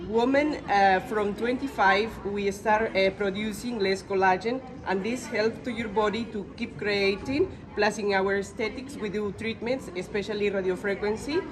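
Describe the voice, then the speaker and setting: A woman, introducing and promoting her beauty business as a guest. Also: medium pitch. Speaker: beauty salon owner, interview guest. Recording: clear crowd noise behind.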